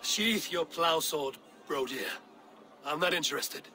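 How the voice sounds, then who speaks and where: A blunt, loyal Viking warrior in a video game drama. The voice sits a little high for a man, with a dry, measured delivery and wry restraint. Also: sharp tone, slight background noise. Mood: dry, dismissive. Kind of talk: dramatic game cutscene acting.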